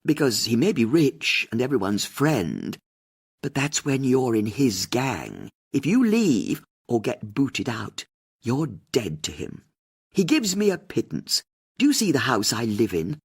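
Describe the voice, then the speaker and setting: A woman reader puts on an older aristocratic divorcee in an audiobook. She is bitter, haughty and weary, with a husky voice. Her pitch moves freely as she scorns those around her. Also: wildly swooping pitch, unhurried, exaggerated voice. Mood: bitter, scornful. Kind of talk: audiobook character dialogue.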